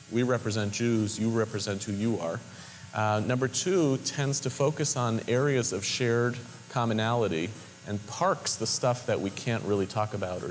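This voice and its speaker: Low-voiced moderator in an echoing hall. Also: male voice. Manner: measured, articulate, with frequent ums. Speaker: moderator and interviewer. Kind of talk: public talk introduction. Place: large synagogue hall. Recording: long hall reverb, faint noise.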